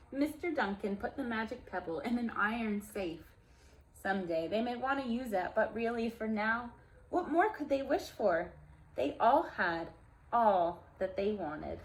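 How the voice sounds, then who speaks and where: A woman reading a picture book aloud to young children, expressive and gentle, with friendly asides to her listeners. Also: mid-range voice, low-quality recording. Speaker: storyteller and teacher for young children.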